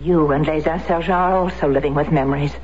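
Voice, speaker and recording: Actress doing a stubborn war widow in an old radio play, earnest n insistent, kinda muffled w some hiss. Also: female voice, low-pitched, fairly even intonation, fast talker, darkish tone, close to an everyday voice. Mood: challenging, stubborn. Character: determined American war widow seeking her husband's betrayer. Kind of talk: radio drama acting. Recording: dull, noisy vintage radio recording.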